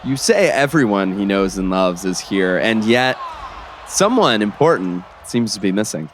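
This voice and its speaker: A man playing an excited basketball play-by-play announcer on the radio in an audio drama, with dramatic, emphatic calls. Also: fairly low pitch, measured pace. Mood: tense. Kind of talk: sports commentary in an audio drama. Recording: basketball game noise behind.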